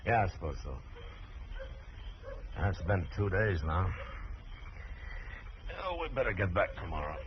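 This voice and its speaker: A man playing a calm, weary frontier marshal in a radio western, speaking with dry, firm understatement. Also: low voice, measured pace, long pauses. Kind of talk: 1950s radio western acting. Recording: muffled, noisy old radio recording.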